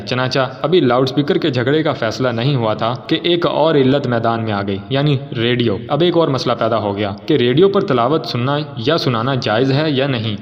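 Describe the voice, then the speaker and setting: Man narrating history in heavy reverb. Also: medium pitch. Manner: formal, storytelling delivery. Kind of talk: scripted documentary narration. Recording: dull audio with long hall reverb.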